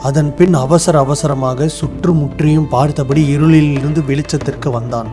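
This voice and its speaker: A man playing an earnest, polite village record keeper in an animated moral tale. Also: on the high side, moderate pace, over music, close to an everyday voice. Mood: measured reading aloud.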